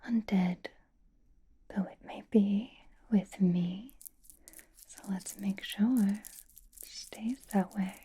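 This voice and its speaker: A woman's voice: mid-range voice, steady tone, mellow. Woman playing a whispering, possessive vampire. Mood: doting, possessive. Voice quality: breathy whisper. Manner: intimate, languid, disdainful, drawn-out phrasing with pauses. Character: possessive, haughty, flirtatious vampire doting on a mortal. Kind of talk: ASMR roleplay, whispered.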